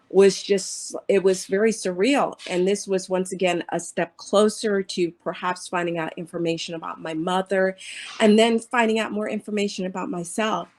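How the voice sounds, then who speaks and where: A woman's voice: fairly low pitch, darkish tone. Earnest woman in her fifties. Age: 50s. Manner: earnest, halting, with many fillers. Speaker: interview guest, adoptee. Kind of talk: personal storytelling in an interview.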